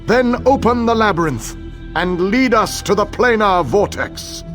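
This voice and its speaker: A man acting a grave, commanding leader in a fantasy game, rallying troops in a solemn, measured, oratorical way. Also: high-pitched, lively intonation, a bit bright, soft background music. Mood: grave, rousing. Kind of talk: scripted fantasy game dialogue.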